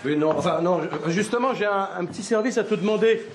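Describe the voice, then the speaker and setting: A man's voice: high voice, fast, background noise, natural voice. An actor in his fifties playing a gloomy, cantankerous son who grumbles and stammers when asking for money. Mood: grumpy. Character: gloomy, cantankerous middle-aged son, broke. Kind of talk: film dialogue. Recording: film soundtrack with loud music underneath.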